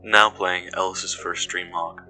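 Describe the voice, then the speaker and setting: Casting: uneasy male investigator played by a man for audio horror, low and very forceful, deliberate delivery, faint music underneath. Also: level tone, heavily acted, poor audio. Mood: measured unease. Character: uneasy male investigator recounting being followed. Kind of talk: scripted first-person horror narration. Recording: music behind the voice.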